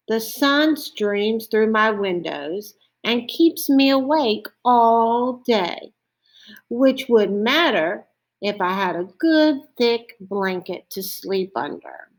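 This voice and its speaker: A woman's voice: a little high, expressive, slow pace, pitched above the performer's own voice. A woman voicing a politely complaining storybook owl with exaggerated read-aloud emphasis. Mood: polite complaint. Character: wakeful owl wearing glasses, politely complaining. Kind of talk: storybook character voice.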